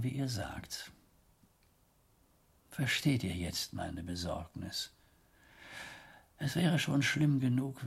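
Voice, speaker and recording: Gravelly, solemn old abbot. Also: male voice, mid-range voice, exaggerated voice. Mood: troubled, grave. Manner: solemn, guarded, hesitating before grave words. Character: worried, dignified older abbot of a monastery. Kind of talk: German radio drama acting, formal dialogue.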